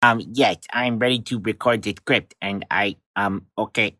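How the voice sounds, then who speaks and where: A man's voice: fairly low pitch, fairly even intonation, moderate pace, pushed and bright, no echo, clean studio sound, pitched below the performer's own voice. A man playing a timid, anxious novice voiceover artist, hesitant and stammering, in a comic sketch. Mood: nervous, unsure.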